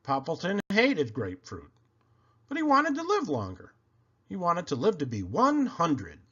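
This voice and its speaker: A man reading a picture book aloud to children, expressive and deliberate in his phrasing. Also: fairly high pitch, slightly rough, highs cut off.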